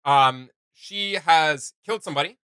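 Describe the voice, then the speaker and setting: A man's voice: on the high side, normal speed, bright, forceful tone, smooth, no echo. A man hosting a reaction channel, giving casual, enthusiastic commentary and often thinking aloud as he goes.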